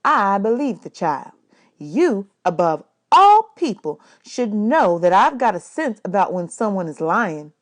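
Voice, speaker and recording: A woman's voice: medium pitch, big pitch swings, measured pace. A woman voicing a firm, protective woman in a storybook, speaking emphatically and indignantly. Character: firm, protective woman scolding in defense of a child.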